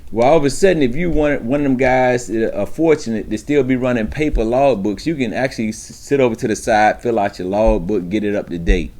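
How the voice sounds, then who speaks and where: A man's voice: medium pitch, brisk, few pauses, a little mellow. A man talking informally as a trucker giving advice to newcomers, emphatic and streetwise, with frequent check-ins to his listeners. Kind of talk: informal instructional monologue to camera.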